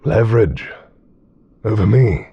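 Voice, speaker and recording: A man performs a coldly menacing immortal in a dark fantasy audio drama. His low, husky voice is haughty and condescending, with theatrical pauses, in a dry, slightly muffled recording. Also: big pitch swings, exaggerated voice. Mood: mocking, menacing.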